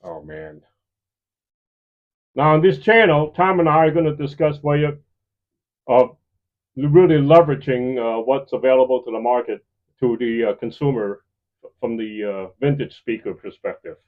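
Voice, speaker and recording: A man's voice: mid-range voice, animated, normal speed, long pauses. A man hosting a hi-fi video channel, presenting to viewers in a semi-scripted way. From lives in the Chicago area, United States. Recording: slightly dull, lo-fi voice recording.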